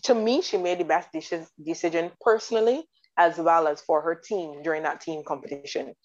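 A woman from Barbados chatting about sports in detail, enthusiastic and quick to laugh. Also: deep voice, darkish tone. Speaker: guest commentator. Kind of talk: casual sports chat. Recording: dull lo-fi audio.

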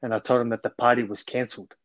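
A man's voice: medium pitch, flat delivery, fast talker, fairly bright tone, no echo. A man in his twenties with an Australian accent, a podcast guest on a remote call, telling his story earnestly with many ums and restarts. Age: 20s.

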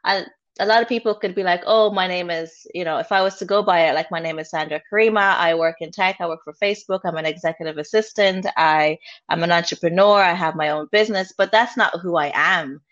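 A woman's voice: on the low side, fast talker, fairly bright tone. An Irish woman speaking as a podcast guest, talking earnestly and thinking aloud with frequent restarts. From Ireland. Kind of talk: reflective personal conversation. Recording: dull lo-fi sound.

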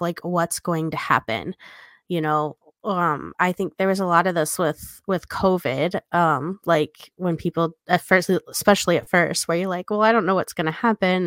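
A woman's voice: fairly low pitch, no room sound, clean studio sound. A woman co-hosting a podcast, explaining her thoughts casually and checking in with small tag questions. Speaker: podcast co-host.

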